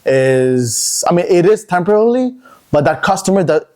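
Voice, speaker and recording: A man's voice: high-pitched, animated, normal speed, a little mellow. A man from Montreal, a podcast guest and entrepreneur, telling his story in a chatty, looping way that repeats words. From Montreal, Canada. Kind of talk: casual podcast interview.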